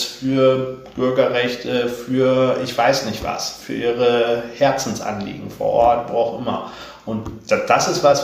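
A man answering interview questions in an earnest, thoughtful way, with a stutter that makes him repeat words and break off sounds. His voice is gravelly and sits at a middle pitch. The recording is very echoey, as if made in a bare room. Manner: thoughtful, earnest, with many fillers. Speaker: guest.

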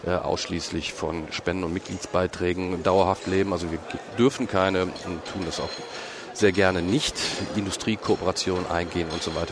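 A man from Cologne, guest on a podcast, explaining at length with many hesitations, recorded in a busy parliament foyer. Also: low-pitched. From Cologne, Germany. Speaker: guest, head of a state environmental association. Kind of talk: podcast interview answers. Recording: noisy public lobby.

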